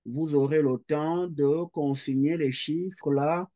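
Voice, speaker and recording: A man's voice: on the high side, fairly even intonation, dark tone, dull audio. A middle-aged man, giving a recorded lecture and working through the calculations step by step, repeating each figure aloud. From Quebec. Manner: slow, methodical, repeats figures aloud as he writes.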